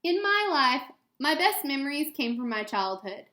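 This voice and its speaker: A woman with a fairly high voice reads a children's book aloud like a schoolteacher. Her delivery is expressive and aimed at young listeners, and the room adds a clear echo around her words. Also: moderate pace. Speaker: elementary school teacher. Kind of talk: reading a children's picture book aloud with asides to students. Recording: echoey room sound.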